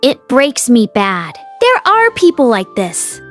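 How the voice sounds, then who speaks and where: A woman's voice: a little high, wildly swooping pitch, slow, a bit bright, faint music behind. A woman narrator reading fiction aloud expressively as an audiobook host, close to the microphone.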